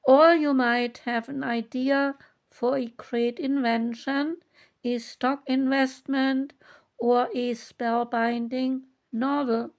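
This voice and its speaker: Woman, high voice, oracle card reader; slow, measured read from the guidebook. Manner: slow, measured reading with long pauses. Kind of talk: reading oracle guidebook text aloud.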